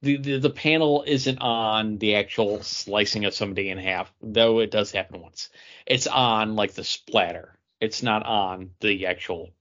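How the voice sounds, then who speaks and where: A man's voice: lowish voice, steady tone, unhurried, a bit bright, lo-fi sound. A man co-hosting a podcast with casual, jokey, teasing banter. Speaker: podcast co-host.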